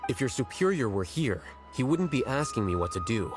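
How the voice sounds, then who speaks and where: An actor playing an aloof young male scholar in a video game, speaking in a dry, measured, matter-of-fact way. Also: medium pitch, big pitch swings, over music, lightly acted.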